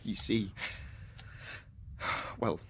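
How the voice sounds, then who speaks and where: An actor playing an anguished, disbelieving mathematician in a radio drama, bitter and agitated, moving between outbursts and faltering murmurs. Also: male voice, extremely slow, slight background noise. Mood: broken, hesitant.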